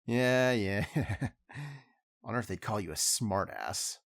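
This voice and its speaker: A man playing a cheerful, excitable alien prince. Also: fairly low pitch, takes it slowly, no echo, clean studio sound. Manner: excitable, casual, laughing.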